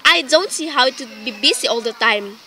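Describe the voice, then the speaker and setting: A woman's voice: high voice, expressive, brisk, bright, forceful tone, exaggerated voice. A woman playing a nervous, demanding invalid wife in a melodramatic radio play, overwrought and imperious. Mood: fretful. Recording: faintly noisy amateur recording.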